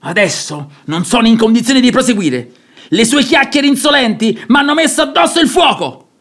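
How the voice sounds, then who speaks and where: A man's voice: very high-pitched, lively intonation, moderate pace, a bit bright, full character voice. A man playing a stubborn, domineering, pious middle-aged nobleman father in a theatrical radio comedy, blustering and exasperated. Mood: fuming, insistent.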